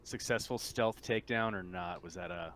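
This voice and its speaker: Male game master, lower register, informal stop-start narration, slightly noisy recording. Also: a bit bright. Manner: halting, informal delivery. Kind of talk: improvised tabletop game narration, casual.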